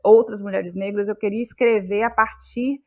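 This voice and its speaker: A woman's voice: medium pitch, steady tone, normal speed. Female interview guest, reflective, searching delivery in an ordinary middle register. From Bahia, Brazil. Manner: searching, thoughtful, repeats words as ideas form. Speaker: interviewed guest, playwright and actress. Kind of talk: reflective interview talk about creative process.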